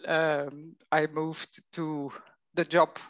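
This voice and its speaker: A middle-aged Belgian woman with a Flemish accent, speaking English as a coach in a conversational interview, slow and thoughtful with frequent fillers. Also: low-pitched, level tone, no room sound, lo-fi sound. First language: Dutch.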